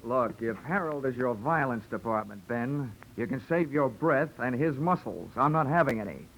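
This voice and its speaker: An actor playing a wry, unflappable insurance investigator with deadpan, sarcastic delivery, in 1940s radio drama style. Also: male voice, medium pitch, moderate pace, close to an everyday voice. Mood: defiant. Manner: dry, deadpan wisecracking. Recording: noisy old 1940s radio recording.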